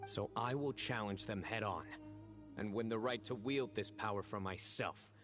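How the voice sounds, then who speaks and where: A man performs a composed, resolute desert guardian from a video game. His voice sits very low and moves with solemn, deliberate weight. Soft music plays behind his reflective words. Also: close to an everyday voice. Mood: firm, resolute. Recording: background music under dialogue.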